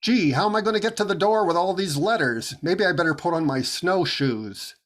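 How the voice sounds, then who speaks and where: Man acting a high-voiced, fussy skinflint boss. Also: animated, brisk, fairly bright tone. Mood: fussy, defensive. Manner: fussy, exasperated, self-justifying. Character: fussy, stingy comic radio star and boss. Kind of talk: 1940s radio comedy acting. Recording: noticeable background noise.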